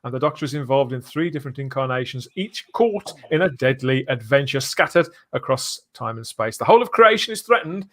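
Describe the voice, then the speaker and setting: A man's voice: mid-range voice, expressive, fairly quick, few pauses, fairly bright tone. A man hosting a casual live podcast, chatting through news and comments with frequent rhetorical tag questions. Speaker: podcast host.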